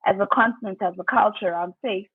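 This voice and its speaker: A woman's voice: mid-range voice, fairly even intonation, quick pace, breathy edge, no echo. A woman co-hosting a podcast, chatting with enthusiasm over a remote call. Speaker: podcast co-host. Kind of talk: remote interview chat. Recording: tinny phone line, dry.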